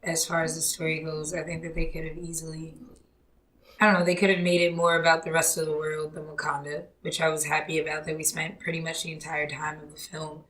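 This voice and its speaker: Deep, flat-toned woman on a podcast. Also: brisk, poor audio. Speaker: podcast co-host. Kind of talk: casual podcast discussion.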